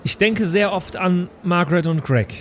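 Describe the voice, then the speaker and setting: Higher-voiced male tabletop player. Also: moderate pace. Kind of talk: in-character tabletop role-play. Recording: faint background noise.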